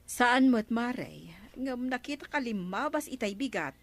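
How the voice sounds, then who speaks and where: A woman's voice: fairly high pitch, lively intonation, unhurried. An actress with an Ilocano accent playing a gossipy, disapproving village neighbour woman in a radio comedy drama.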